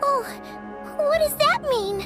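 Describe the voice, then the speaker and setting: Tiny excitable fairy sidekick for an anime game: very high, childlike, swooping pitch. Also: female voice, takes it slowly, over loud music, full character voice. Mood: doubtful. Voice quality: squeaky, childlike. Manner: chirpy, exclaiming, refers to self in third person. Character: Paimon, small excitable childlike female fairy companion. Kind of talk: anime-style video game voice acting.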